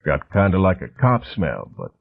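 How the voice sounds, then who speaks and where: A man voicing a huge, gruff heavy with a deep, rumbling, gravelly voice, on a muffled cassette recording. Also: wildly swooping pitch, moderate pace, full character voice. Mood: gruff, flat. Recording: muffled, phone-like old cassette audio.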